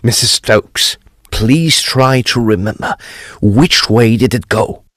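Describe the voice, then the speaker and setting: A man playing a decisive, inquisitive gentleman detective of the occult in a period Gothic audio drama, with grand theatrical delivery. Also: mid-range voice, dry recording, clean studio sound. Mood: probing. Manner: grand, deliberate, theatrical exclamations.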